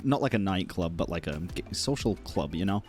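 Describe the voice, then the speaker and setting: A man's voice: low-pitched, animated, brisk, a little mellow. A man streaming a game and reacting with casual, rambling, slangy commentary. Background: game music.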